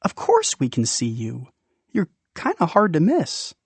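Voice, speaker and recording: Storybook kid played by a man: amiable, inquisitive young boy, lightly high voice, animated, casual and sincere. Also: measured pace, husky, no room sound, exaggerated voice. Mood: reassuring. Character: friendly, curious young boy, unbothered by being different.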